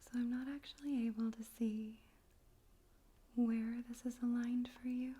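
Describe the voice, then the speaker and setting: Breathy, whispering woman, slow ASMR delivery. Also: a little high, little pitch movement, dark tone. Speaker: ASMR host and poetry reader. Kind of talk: ASMR, soft unhurried talk and poetry reading. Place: room in a home in daytime.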